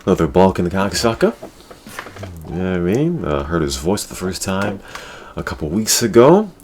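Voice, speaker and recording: A man's voice: low-pitched, sing-song, normal speed. A man hosting a casual gaming talk show, talking in a crude, slangy way and repeating his catchphrases. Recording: clear background noise.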